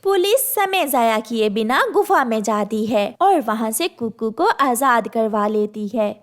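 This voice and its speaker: A woman's voice: on the high side, expressive, measured pace. A woman reading scripted narration as the storyteller of a children's tale.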